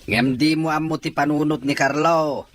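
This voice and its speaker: A man's voice: a little high, steady tone, moderate pace, close to an everyday voice. A man playing a cautious, doubting father in an audio drama. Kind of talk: Ilocano audio drama dialogue.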